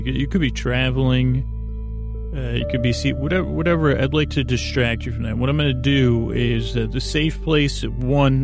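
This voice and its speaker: A man hosting a bedtime podcast in a creaky voice, meandering gently and reassuringly through digressions and often trailing off mid-thought. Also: medium pitch. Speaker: podcast host. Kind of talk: rambling bedtime sleep podcast monologue.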